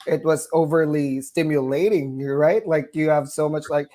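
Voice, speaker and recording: A man's voice: fairly high pitch, fairly even intonation, normal speed, warm tone. A nurse from the Philippines with a Southern US twang, a man talking chattily as an interview guest, with frequent fillers and laughs between phrases. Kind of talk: conversational interview.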